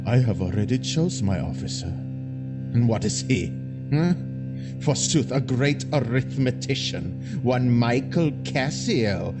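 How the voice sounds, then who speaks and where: A man acting a low-voiced, bitter, scheming soldier. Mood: mocking. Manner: theatrical, resentful, deliberate delivery. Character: bitter, scheming male soldier plotting against his master. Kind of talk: Shakespearean audio drama acting.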